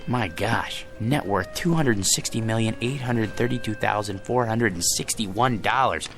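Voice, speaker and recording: An actor playing a meek, hapless husband in a radio drama, hesitant and stammering when flustered. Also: male voice, fairly low pitch, normal speed, natural voice. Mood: wistful. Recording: music behind the voice.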